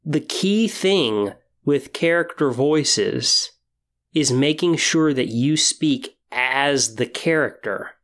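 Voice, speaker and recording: A man hosting a podcast monologue, explaining and giving tips in a measured way with emphatic phrasing. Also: a little high, lively intonation, fairly bright tone. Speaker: podcast host.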